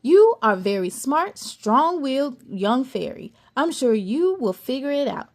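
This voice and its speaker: A woman's voice: sits high, sing-song, a little slow, heavily acted. A woman voicing a stern, regal fairy queen who speaks formally and commandingly in a read-aloud storybook.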